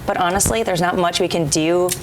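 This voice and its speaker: A woman's voice: on the low side, fairly quick, noisy background. A woman speaking as a veterinarian guest in a TV interview, explaining things in a casual, conversational way.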